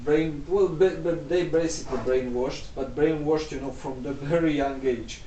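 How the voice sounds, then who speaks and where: Halting Moldovan man, dark distant voice. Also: on the high side, roomy sound, a little hiss or hum. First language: Russian. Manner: halting, many restarts and repeated words. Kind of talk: casual conversation.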